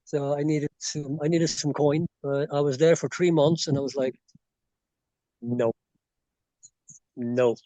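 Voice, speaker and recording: Guest: Belgian-born man, Irish-tinged accent, on the high side, dry sound, rambles with fillers. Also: fairly even intonation, a little slow, long pauses, warm tone, no echo, poor audio. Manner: rambling, frequent fillers and restarts. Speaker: expat guest. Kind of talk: casual interview chat. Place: rural property in Bolivia.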